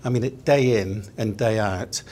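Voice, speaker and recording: A man speaking on a panel discussion in a measured, thoughtful way. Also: fairly low pitch, a little mellow, slight background noise. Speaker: round table panelist.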